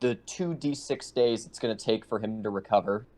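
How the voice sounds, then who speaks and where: A man's voice: on the low side, normal speed, darkish tone. A man chatting casually as a player in a tabletop roleplaying game.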